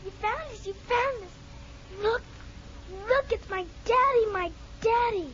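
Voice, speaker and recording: A woman playing an excitable, affectionate little girl in a 1950s radio drama. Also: very high voice, slow pace, heavily acted. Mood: delighted. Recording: dull old 1950s radio recording.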